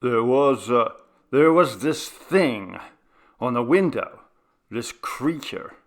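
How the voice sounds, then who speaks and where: A man voicing a frightened, guilty man in a horror story, speaking haltingly and evasively as if muttering to himself. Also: medium pitch, expressive. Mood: stammering, afraid.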